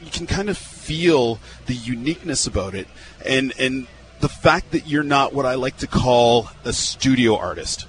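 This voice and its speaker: A man's voice: medium pitch, animated, moderate pace, a little hiss or hum. Chatty man hosting radio live outdoors. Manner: upbeat, chatty, repeats words. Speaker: radio host. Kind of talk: casual live interview. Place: outdoor fairground.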